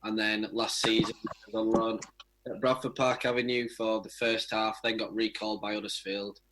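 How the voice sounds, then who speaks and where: A man's voice: on the low side, flat delivery, normal speed, a bit bright, poor audio. A young man, a footballer, giving casual answers in a radio interview. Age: young adult.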